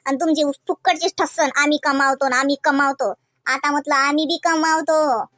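A woman voicing gossipy, excitable village housewives in a comedy cartoon, exclaiming and teasing in rustic Varhadi Marathi. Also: sits high, fairly quick. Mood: boastful, defiant. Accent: Varhadi Marathi from Vidarbha. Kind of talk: colloquial comic cartoon dialogue.

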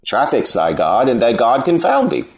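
A man's voice: mid-range voice, huge pitch swings, moderate pace, a little mellow. A man playing Apemantus, a scornful swooping cynic. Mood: scornful, biting. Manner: biting, contemptuous retorts. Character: Apemantus, scornful churlish cynic philosopher, male. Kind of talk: unrehearsed Shakespeare play reading.

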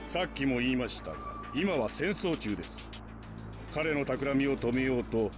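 Man playing polite, scheming young villain, swooping pitch. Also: expressive, close to an everyday voice. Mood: polite, sly. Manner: courteous, measured, insinuating. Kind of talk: Japanese anime game voice acting. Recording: loud background music bed.

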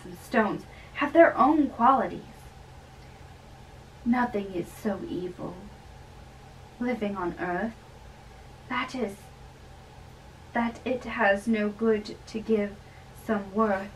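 A young woman reads a play aloud at a slow, theatrical pace. She is some way from the camera mic in a bedroom, with faint noise in the recording. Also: medium pitch, distant mic, low-quality recording. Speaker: amateur reader of a play. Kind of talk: dramatic reading of a play. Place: small bedroom, indoors, seated on a bed. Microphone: camera a few metres away.

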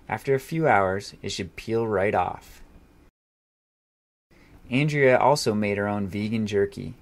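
A man in his thirties giving a casual how-to explanation as an outdoor vlogger. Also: on the low side. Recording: clear ambient noise behind voice.

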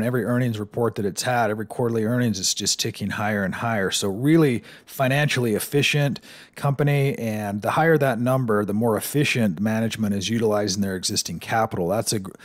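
A man's voice: fairly low pitch, no echo. A man hosting a stock analysis lesson, talking casually through charts on screen, with frequent fillers and restarts.

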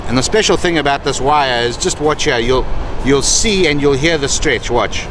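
A man's voice: medium pitch, normal speed. A middle-aged man on a beach, patiently showing how to tie a fishing knot step by step while working with his hands. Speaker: fishing instructor. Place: outdoors on a beach. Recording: outdoor noise behind the voice.

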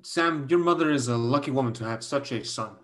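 Protective young Chinese man for a stage drama, male reader: sharp, bright tone, plain-spoken, formally phrased, video-call sound. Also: mid-range voice, fairly quick, lightly acted. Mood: courteous, frank. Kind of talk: staged play reading over video call. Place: home room on video call.

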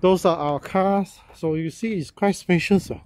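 A man, a real estate agent walking through a property and talking casually, halting and repeating himself with many fillers as he goes. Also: sits high, darkish tone. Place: walking through a house and yard, in and out of doors.

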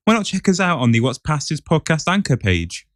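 A man's voice: on the high side, sing-song, a little fast, no room sound, clean studio sound. A man co-hosting a podcast and running its quiz in a casual way. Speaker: podcast co-host and quizmaster.

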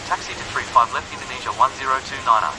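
A man's voice: medium pitch, fast, close to an everyday voice. A man playing a businesslike airport radio operator in a game, speaking formal, scripted aviation phraseology. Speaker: airline pilot and air traffic controller. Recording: hissy radio audio with aircraft noise.

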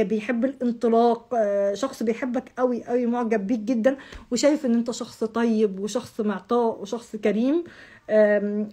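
A hoarse, husky Egyptian woman rattles off a horoscope reading at a quick clip. Her voice sits fairly high and sounds rough and breathy. She talks straight to the viewer in a chatty way and repeats herself. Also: a little mellow. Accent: Egyptian Arabic. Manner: chatty, repeats words, addresses the viewer directly, gestures with hands. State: hoarse from shouting. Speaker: astrology channel host. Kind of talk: casual monthly horoscope reading. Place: home room with curtain backdrop.

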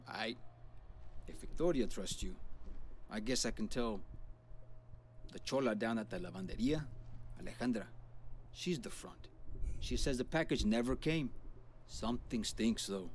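A man's voice: mid-range voice, moderate pace, pauses often, a little hiss or hum, lightly acted. An actor voicing a suspicious, menacing male gang boss in a video game, with a confrontational, streetwise, curse-heavy manner. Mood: wary, gruff.

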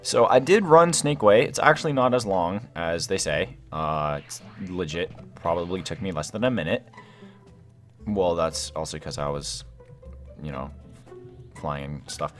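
A young man giving excited, casual gameplay commentary, rambling and thinking aloud in slangy exclamations. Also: low voice, a little slow, soft background music. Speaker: gaming video host.

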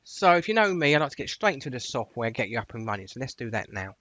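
A man narrating an informal software tutorial in a chatty, directive way, stressing the starts of his phrases. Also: mid-range voice, sing-song, fast talker, dry recording, dull audio. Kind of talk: informal instructional screencast narration.

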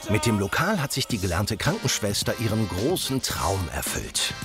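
A man's voice: fairly low pitch, wildly swooping pitch, moderate pace. A man reading a scripted, playful voice-over for a TV show. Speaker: narrator. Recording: music under the voice-over.

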